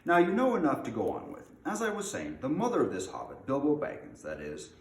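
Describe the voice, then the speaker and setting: A man's voice: medium pitch, huge pitch swings, brisk. A man reading aloud in an informal, unpolished way, as the host of a read-aloud. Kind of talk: reading a storybook aloud with casual introduction. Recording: echoey room with faint noise.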